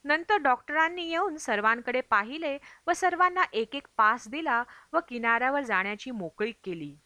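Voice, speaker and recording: A woman's voice: fairly high pitch, bright, forceful tone, dry recording. A woman reading a travel memoir aloud as scripted audiobook narration. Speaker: audiobook reader.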